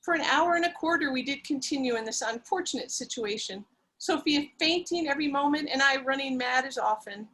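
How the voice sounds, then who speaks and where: A woman reading a story aloud with theatrical, breathless melodrama. Her voice sits high, and she plays the lead and narrates over a video call. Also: fairly quick, sharp tone, low-quality recording.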